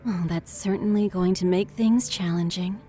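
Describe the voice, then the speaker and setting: A woman voicing a playful, flattering, worldly barroom confidante in a video game English dub, coquettish and teasing. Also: lowish voice, normal speed, faint music behind, natural voice. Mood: encouraging.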